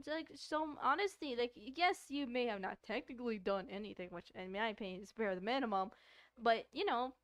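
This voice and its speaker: Girl streamer, high voice, dry sound, chatty animated reactions to a visual novel. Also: dry recording. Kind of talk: casual playthrough commentary.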